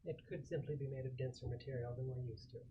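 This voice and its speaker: A man playing a polite, logical synthetic android crewman in a tabletop game, with a formal, measured manner. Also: medium pitch, fairly even intonation, mellow, muffled recording, lightly acted. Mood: matter-of-fact. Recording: faintly hissy room recording with clicks.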